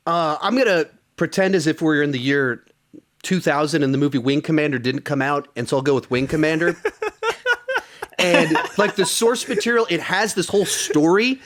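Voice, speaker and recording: A man's voice: on the high side, moderate pace, fairly bright tone. A man hosting a podcast, chatting casually about films. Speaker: podcast host. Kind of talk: casual film discussion.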